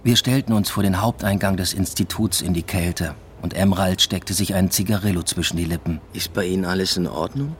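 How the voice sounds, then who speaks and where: An actor voices a restrained male lecturer narrating a radio drama. His voice is deep and his delivery understated and matter-of-fact. There is faint noise in the background. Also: fairly quick, hardly any pauses, natural voice. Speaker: narrator and lead. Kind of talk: scripted first-person radio play narration.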